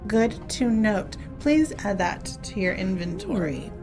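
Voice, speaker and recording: A woman running a tabletop role-playing game, narrating in a relaxed way with frequent ums. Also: mid-range voice, unhurried, over music. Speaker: game master.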